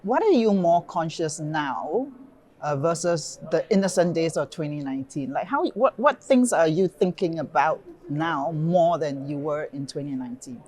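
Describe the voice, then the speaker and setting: Woman, interviewer, host, low-pitched, effusive, eager, chatty, conference studio. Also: animated, normal speed, darkish tone. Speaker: interviewer and event founder. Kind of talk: interview hosting.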